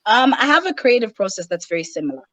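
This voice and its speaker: A woman's voice: fairly high pitch, sing-song, fast talker, a bit bright, no echo. Confident Malawi-raised woman rapper, candid guest chat, dry recording. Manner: candid, self-assured, talks in long flowing runs. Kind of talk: casual interview chat.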